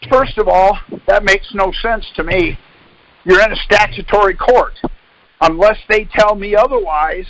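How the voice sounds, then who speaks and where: Man, high voice, dry close sound. Call leader who lectures, challenges and corrects. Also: fairly bright tone, no room sound, poor audio. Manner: corrective, insistent, questioning. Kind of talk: explaining and debating on a conference call.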